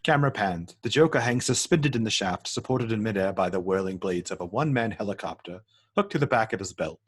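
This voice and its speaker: A man with a husky, fairly low voice narrates a script read-through. He reads stage directions matter-of-factly and sometimes trips on a word. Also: expressive, a little fast.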